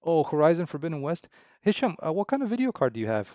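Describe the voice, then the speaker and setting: A man talks loosely to his stream chat, stumbling and restarting often, with a smooth, resonant voice at a middle pitch. The sound is dry and close, slightly dull and lo-fi. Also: no echo. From Romania. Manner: relaxed, halting, many fillers and restarts, chuckles. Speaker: indie game developer streaming. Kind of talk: casual livestream chat with viewers.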